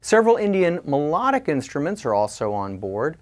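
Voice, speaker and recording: Lively-pitched male product demo narrator. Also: a little fast. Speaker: product reviewer. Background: music and instrument demos.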